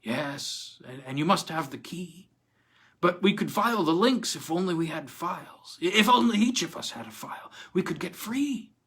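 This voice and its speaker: A man voicing a bitter, despairing blinded prisoner in chains, halting and snarling as he repeats himself in a storybook reading. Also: sits high, sing-song, a bit bright, husky, pitched above the performer's own voice, full character voice. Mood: weary, desperate.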